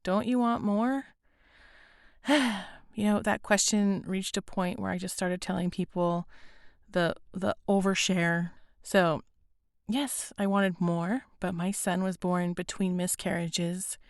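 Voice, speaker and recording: A woman hosting a podcast, speaking earnestly and gently with a raspy, hoarse voice. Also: fairly low pitch, flat delivery, slow pace, dry recording, studio quality. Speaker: podcast host. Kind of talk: scripted podcast intro and interview.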